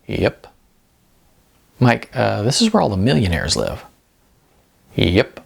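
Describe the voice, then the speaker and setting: A man's voice: on the low side, wildly swooping pitch, slow, gravelly, breathy edge, full character voice. A man voicing a laid-back, world-weary older man in an audiobook, laconic and easygoing with some hesitation. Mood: dry. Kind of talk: audiobook character dialogue.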